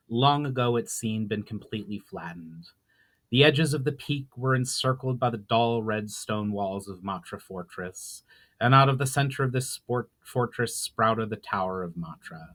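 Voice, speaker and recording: A man's voice: medium pitch, animated, fairly bright tone. Man reading a fantasy story aloud. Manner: measured reading with occasional stumbles and self-corrections. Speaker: author reading own book aloud. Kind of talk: dramatic audiobook-style narration.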